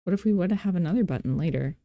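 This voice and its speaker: Mellow, low-voiced woman hosting a casual tutorial. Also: animated, very fast, dry recording, studio quality. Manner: informal, playful, self-deprecating asides. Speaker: tutorial host. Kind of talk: casual screen-recording software tutorial, thinking aloud.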